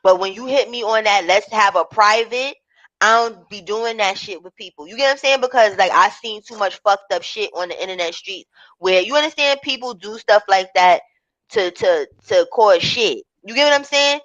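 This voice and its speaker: A woman's voice: medium pitch, animated, normal speed, a bit bright. An American woman talking casually and bluntly in a live group chat, swearing freely and checking that others follow. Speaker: participant in a group live stream. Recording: dull lo-fi live-stream audio.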